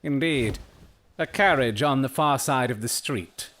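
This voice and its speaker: An actor playing a brilliant, eccentric Victorian detective in an audio drama, speaking with precise, clipped self-assurance. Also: male voice, mid-range voice, unhurried, a bit bright, close to an everyday voice. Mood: coolly analytical.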